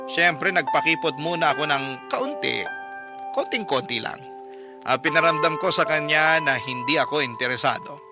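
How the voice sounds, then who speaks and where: A Filipino man narrates a first-person letter in the style of a radio storyteller. His voice sits somewhat high for a man, and prominent music plays behind him throughout. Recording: loud music bed under narration.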